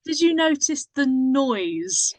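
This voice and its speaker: A woman chatting casually in a group, expressive and emphatic in her reactions. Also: sits high, slow, a crowd in the background.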